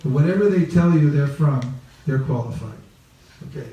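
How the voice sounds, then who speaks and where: A man's voice: fairly high pitch, expressive, normal speed, lo-fi sound. A man from California leading an interactive seminar, playfully questioning the group and repeating key phrases. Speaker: religious teacher leading a training talk. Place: reverberant room with a live group. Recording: echoey room, picked up from a distance.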